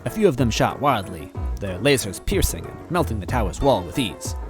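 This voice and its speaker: A man reading a story aloud in first person, with deadpan, mock-earnest narration. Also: fairly low pitch, normal speed, over music. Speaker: story reader.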